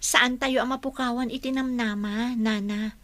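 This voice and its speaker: A woman playing a gentle young working woman and devoted daughter in an Ilocano audio drama. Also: fairly high pitch, steady tone, moderate pace, lightly acted. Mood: reassuring.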